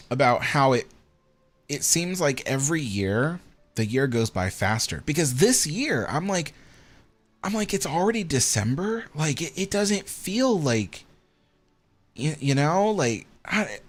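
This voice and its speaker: A tired man in his thirties hosting a casual livestream, rambling with many ums as he answers viewer comments. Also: a little high. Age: 30s. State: tired, yawning. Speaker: livestream host.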